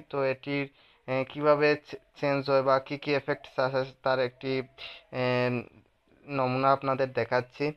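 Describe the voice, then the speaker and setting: A man's voice: mid-range voice, smooth. A man with a Bangladeshi accent narrating a phone app tutorial as the host, in a slightly dull recording.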